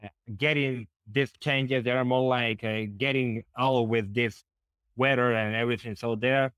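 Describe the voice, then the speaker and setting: A man's voice: medium pitch, fairly even intonation, normal speed, fairly bright tone, smooth, dry recording. A middle-aged man from Honduras with a Honduran Spanish accent, chatting as an interview guest and often repeating words as he searches for phrasing. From northern coast of Honduras. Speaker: podcast guest. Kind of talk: casual interview conversation.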